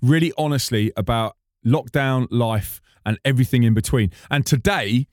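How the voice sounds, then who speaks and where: A man's voice: mid-range voice, moderate pace, dry recording, clean studio sound. A man hosting a podcast, chatting casually and joking with his guest, with lots of fillers. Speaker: podcast host.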